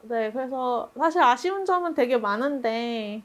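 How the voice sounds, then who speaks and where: A woman's voice: a little high, expressive, normal speed. A woman speaking as a production director in a reflective group discussion, thoughtful and hesitant, often laughing a little as she talks.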